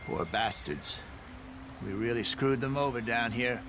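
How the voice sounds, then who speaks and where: A man's voice: medium pitch, measured pace, noisy background, lightly acted. An actor playing an eloquent, wry older con man with an American accent in a western game. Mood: somber, storytelling. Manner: wordy, theatrical, wry. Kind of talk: cinematic western game acting. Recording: music and wagon sounds behind.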